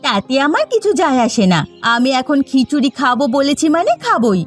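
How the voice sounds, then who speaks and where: A woman voicing a cruel, scheming, bossy daughter-in-law in an animated village story, scolding with open scorn. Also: high-pitched, brisk, faint music behind. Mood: demanding. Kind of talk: animated moral story voice acting.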